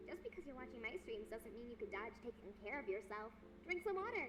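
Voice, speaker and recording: Over a noisy background, an actress plays a young female streamer in an audio drama. She is high-voiced and quick, chatting brightly and looking after her fans. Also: very animated. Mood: cheerful, caring. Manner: chirpy, nurturing, chatty. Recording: clear background noise and ambience.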